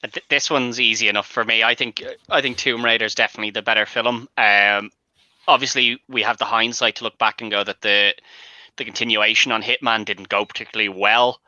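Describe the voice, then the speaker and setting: A man's voice: fairly low pitch, steady tone, brisk, pushed and bright, dry recording, lo-fi sound. A man speaking as a panel guest, casually arguing his view on films. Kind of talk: casual film debate.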